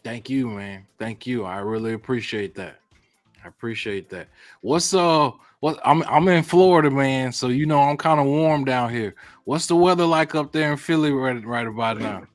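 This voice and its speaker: A man's voice: mid-range voice, normal speed, no room sound, faint music behind. Casual male podcast host, dry sound. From United States. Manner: upbeat announcer, casual slang like bro. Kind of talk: podcast hosting and interview.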